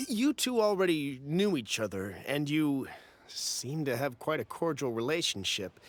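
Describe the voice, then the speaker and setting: A man's voice: fairly high pitch, a bit bright. A man voicing a fussy, polite, fawning merchant in a video game, with hesitant turns of phrase. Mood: puzzled, wary.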